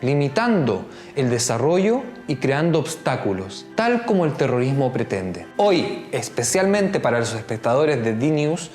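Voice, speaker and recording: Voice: mid-range voice, sing-song, a little slow, hardly any pauses, darkish tone, soft background music. Formal narrator in a roomy space. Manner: formal, declarative. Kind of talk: scripted narration.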